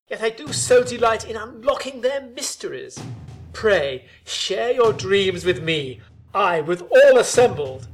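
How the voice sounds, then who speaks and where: A man's voice: high-pitched, fairly bright tone, full character voice. A man playing a proud, suave villain of a bridegroom in a folk tale, with pompous, florid old-fashioned diction. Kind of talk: theatrical storytelling of a folk tale.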